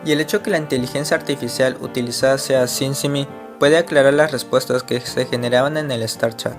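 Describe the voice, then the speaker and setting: A man's voice: medium pitch, steady tone, a little fast. A man narrating an internet mystery video in a scripted, explanatory way, asking the viewer rhetorical questions. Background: faint guitar music.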